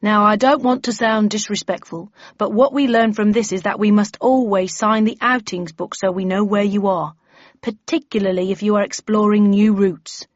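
A woman voicing a stern, brisk bellman who chairs a meeting in an officious, declaiming manner, in an audiobook story. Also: medium pitch, steady tone, dry recording, lo-fi sound, lightly acted.

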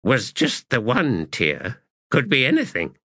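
A man's voice: medium pitch, huge pitch swings, a little slow, sharp tone, slightly rough, dry recording, clean studio sound, pitched above the performer's own voice, heavily acted. A man voicing a laconic male army cutter giving understated reports in an audiobook. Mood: laconic, doubtful. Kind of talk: audiobook character acting.

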